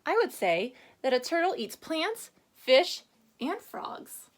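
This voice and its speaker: A woman with a fairly high, very animated voice teaches a reading lesson to young children. She speaks as an elementary teacher, stressing key words deliberately. She often prompts her listeners with simple questions.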